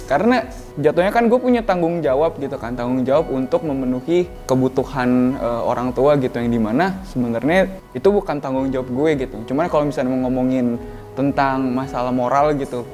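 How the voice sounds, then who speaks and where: A man's voice: medium pitch, brisk, a little mellow, faint music behind. A man of about twenty-one answering interview questions in a colloquial, slangy way. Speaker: content writer being interviewed.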